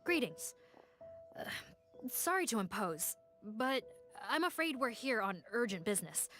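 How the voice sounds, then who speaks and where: A woman's voice: a little high, very animated, measured pace, bright, forceful tone, full character voice. An actress playing a dutiful woman team leader who speaks politely but firmly. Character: dutiful woman squad leader, practical and firm. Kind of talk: video game dialogue. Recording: faint game music behind.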